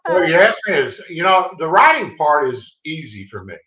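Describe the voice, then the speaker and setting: A man's voice: on the high side, big pitch swings, normal speed, sharp tone. A man with a Texas accent, an author being interviewed, talking in an easygoing, rambling way with restarts over a video call. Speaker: author guest. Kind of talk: casual interview chat. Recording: roomy, dull lo-fi sound.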